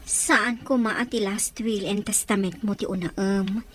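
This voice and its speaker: An actress playing a concerned, questioning and quietly commanding lady of a household in a radio drama. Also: medium pitch, measured pace, a little hiss or hum, lightly acted. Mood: composed, concerned.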